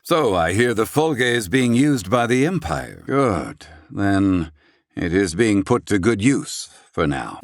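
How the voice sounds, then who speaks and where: An actor playing a proud, gruff, excitable older scholar-inventor in a video game, speaking in formal, archaic, theatrical phrasing. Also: male voice, lowish voice, no room sound, clean studio sound. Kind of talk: video game character acting.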